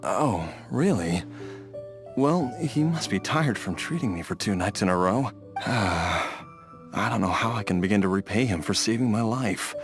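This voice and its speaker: A man's voice: fairly low pitch, expressive, a little slow, music in the background. A man playing a kindly, earnest father in a video game, a little hesitant. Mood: grateful, pensive. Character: kindly, grateful adult father recovering from illness. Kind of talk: video game character acting.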